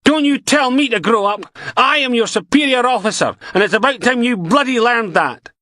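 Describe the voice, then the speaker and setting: A man voicing a pompous, bearded male detective inspector who blusters and shouts. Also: high-pitched, expressive, normal speed, no echo, studio quality, pitched above the performer's own voice. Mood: furious.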